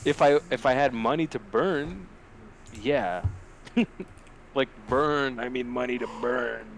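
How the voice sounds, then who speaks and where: A man's voice: medium pitch, slow pace, gravelly, a little hiss or hum. A man co-hosting a podcast in casual, slangy, joking banter with plenty of swearing. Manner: profane, slangy, jokey. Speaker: podcast co-host. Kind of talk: casual podcast chat, weird news banter.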